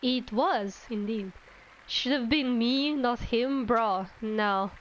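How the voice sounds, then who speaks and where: A woman's voice: on the high side, slow, dull audio, slight background noise. A woman streaming a game, giving casual, wry, mildly sweary commentary. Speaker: VTuber streamer.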